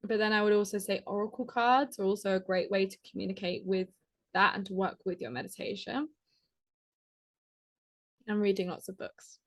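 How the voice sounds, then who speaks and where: A woman's voice: mid-range voice, steady tone, fairly bright tone. Woman, podcast guest, chatty and casual, mid-range voice. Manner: chatty, lots of "like", quick laughs. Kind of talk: casual conversational interview.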